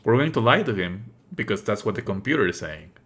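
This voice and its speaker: A man's voice: fairly low pitch, very animated, a little fast, fairly bright tone, breathy edge. A man doing live let's play commentary as a streamer, emphatic, exasperated and prone to swearing.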